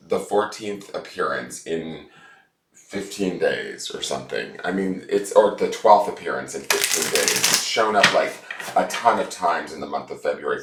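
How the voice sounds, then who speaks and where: A man with a stuffed-up voice, chatting casually as a tarot reader and rambling excitedly about the cards. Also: low-pitched. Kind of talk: casual chat to camera. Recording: echoey room from far off, loud noise.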